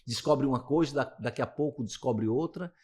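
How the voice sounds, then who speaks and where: A man's voice: mid-range voice, brisk, darkish tone, slightly rough. A man in his late fifties with a Brazilian accent, a physiotherapist being interviewed, talking casually and rambling with many restarts. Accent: Brazilian Portuguese. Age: 50s. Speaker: physiotherapist guest.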